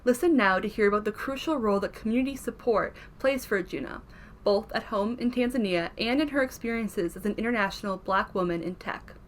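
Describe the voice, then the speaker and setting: A woman hosting an event, reading a scripted introduction of the next speaker in a clear, announcing way. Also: mid-range voice, fairly quick, noisy background.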